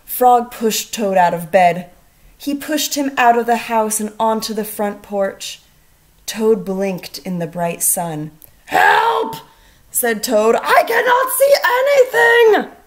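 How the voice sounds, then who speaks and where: A woman speaking as a first grade teacher in a children's read-aloud, expressive and putting questions to the listener. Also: medium pitch, takes it slowly.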